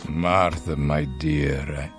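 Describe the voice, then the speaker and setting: An actor playing an aging, devoted husband in a radio drama, with heartfelt theatrical delivery. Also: male voice, very deep voice, fairly even intonation, extremely slow, faint music behind, heavily acted. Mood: tender, resigned.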